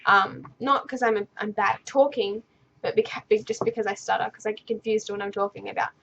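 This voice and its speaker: A woman's voice: mid-range voice, fairly even intonation, brisk, gravelly, fairly bright tone, breathy edge, lo-fi sound. A young woman vlogger in her bedroom, answering viewer questions in a rambling, stuttering, unscripted way. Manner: stutters and restarts, rambling and easily sidetracked. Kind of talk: casual unscripted talk to camera.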